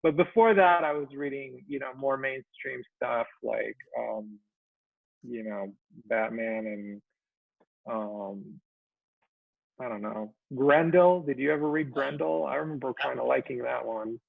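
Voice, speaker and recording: A man of about forty from Israel chats as a guest, halting and soft, leaning on fillers as his thoughts trail off. His voice is deeply gravelly and fried. It comes through a thin, phone-like video call with no room sound at all. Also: no echo. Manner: halting and soft-spoken, full of ums and trailing phrases. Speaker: guest cartoonist. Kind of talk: casual chat. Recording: tinny dry call audio.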